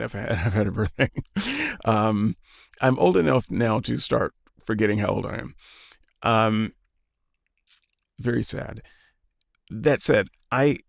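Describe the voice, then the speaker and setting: A man in his fifties talking casually and reflectively, pausing often with fillers and restarts. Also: fairly low pitch, vocal fry, no room sound, studio quality. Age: 50s. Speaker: podcast guest. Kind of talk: casual opinion talk.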